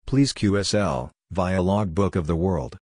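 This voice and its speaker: A man reading out short scripted practice phrases with measured, deliberate enunciation, as a drill narrator. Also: low voice, a little mellow, breathy edge. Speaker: Morse code practice narrator.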